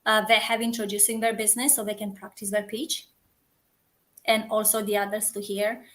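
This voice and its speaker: A woman's voice: mid-range voice, flat delivery, moderate pace, a bit bright. A woman from Romania with a Romanian accent, a community founder chatting earnestly as a guest and often restarting as she hunts for words. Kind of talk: casual interview chat.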